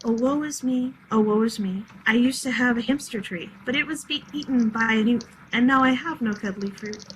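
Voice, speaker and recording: A woman's voice: on the high side, moderate pace, slight background noise, lightly acted, rough recording. A woman voicing a young girl who sings a silly little ditty in a playful sing-song.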